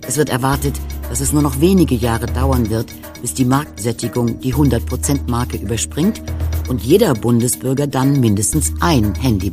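An actor playing a measured, formal male radio presenter reading a scripted announcement. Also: medium pitch, lightly acted.

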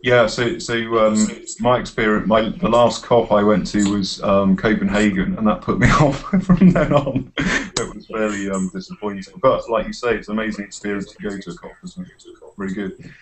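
A middle-aged man with a fairly low voice tutors informally over a video call. He speaks in a hesitant, encouraging way, as if to a small group of students. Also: lively intonation, normal speed, rough recording. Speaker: university course tutor. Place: small office, indoors.

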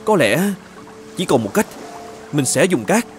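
A man's voice: on the high side, sing-song, a little slow, faint music behind. A man voicing a brave, polite young knight in a children's cartoon. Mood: curious, determined. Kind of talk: children's cartoon fairy-tale dubbing.

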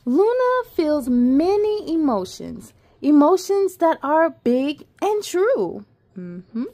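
A woman in her thirties hosting a children's story time, expressive and sing-song as she speaks to young viewers. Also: high-pitched, slow. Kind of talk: children's read-aloud with asides to young viewers. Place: living room at home.

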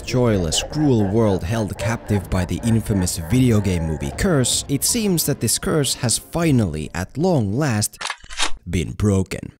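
A man reading a scripted film video essay with an emphatic, drawn-out rhetorical delivery. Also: on the low side, a little mellow. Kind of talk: scripted narration. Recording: music behind the narration. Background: music.

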